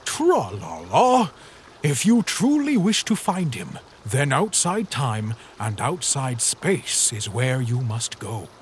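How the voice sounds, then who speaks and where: A serene, riddling ferryman who drifts through his words at an unhurried pace, as a man puts him on. His sing-song lines rise and fall with a lot of movement. The recording has faint background noise. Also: mid-range voice, huge pitch swings. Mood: mysterious. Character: cryptic hooded boat ferryman, serene and riddling. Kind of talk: fan comic dub.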